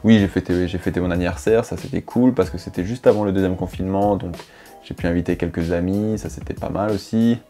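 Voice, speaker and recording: A man's voice: sits low, steady tone, fairly quick, dark tone, soft background music. A young man, talking slangy and rambling to camera about his year, recorded on a lapel mic. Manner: slangy, rambling, self-mocking. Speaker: YouTuber. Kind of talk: improvised casual monologue to camera. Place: dim bedroom studio, indoors.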